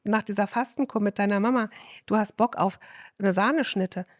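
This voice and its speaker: A woman's voice: medium pitch, normal speed, dry recording, studio quality. Emphatic woman doctor explaining at length. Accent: German. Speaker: physician guest. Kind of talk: casual podcast conversation.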